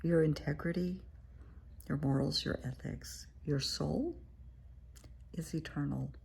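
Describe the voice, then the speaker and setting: Woman therapist, raspy and croaky from a cold, dark husky tone, frank and encouraging. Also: deep voice, slow. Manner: frank, encouraging, speaks straight to the viewer. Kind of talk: casual direct-to-camera advice talk. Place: her living room.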